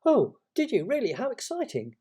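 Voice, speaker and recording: Man playing an eager, high-pitched young boy. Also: unhurried, exaggerated voice. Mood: concerned, eager. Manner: bright-eyed, urgent, excited.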